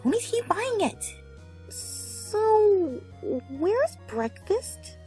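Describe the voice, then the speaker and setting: A man's voice: extremely high pitch, very animated, very slow, darkish tone, voice pushed up, heavily acted. A man voicing young female cartoon ponies in an exaggerated, theatrical way for a story reading. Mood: weary bickering. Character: young female cartoon ponies, bickering housemates, excitable or weary. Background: game music.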